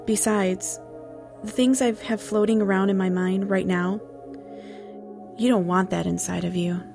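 A woman's voice: medium pitch, fairly even intonation, measured pace, creaky, over music. A woman voicing a guarded, troubled young telepath in an audiobook, speaking tersely and earnestly. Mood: somber, confiding. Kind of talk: audiobook character dialogue.

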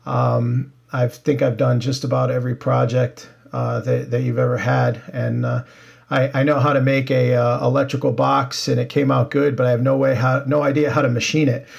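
A man hosting a podcast interview, speaking in a friendly, halting way with many fillers. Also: medium pitch, flat delivery, gravelly. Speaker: podcast host. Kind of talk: casual interview.

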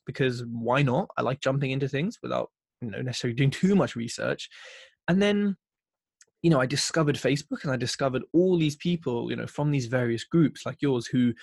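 A man's voice: mid-range voice, darkish tone, breathy edge, dry recording, studio quality. British man, chatty and emphatic podcast guest. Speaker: podcast host interviewed as guest. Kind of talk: casual conversational interview.

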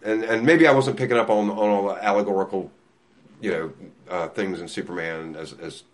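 A man's voice: lowish voice, fairly quick. A man who runs a comic shop, chatting casually with many restarts and frequent laughs. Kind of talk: casual chat.

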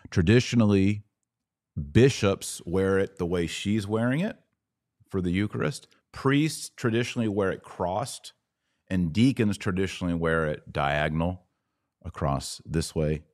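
A man with a low-pitched voice, recorded close and dry, gives casual podcast commentary. He explains like a teacher, then slips into informal asides to his listeners. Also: measured pace, darkish tone, no echo.

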